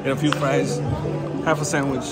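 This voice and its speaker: A man's voice: mid-range voice, flat delivery, normal speed. A man in his forties, upbeat and enthusiastic, giving a casual vlog tour with a camera at arm's length. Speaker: travel vlogger. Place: outdoor hotel pool area and indoor hallways. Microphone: handheld camera at arm's length. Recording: loud music and crowd behind. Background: music and crowd noise.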